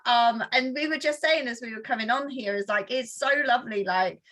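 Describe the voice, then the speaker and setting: A woman's voice: on the high side, brisk, fairly bright tone. A woman hosting a casual conversation show, bubbly and gushing, full of fillers like "like". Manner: bubbly, gushing, lots of "like" and "yay". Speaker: podcast host.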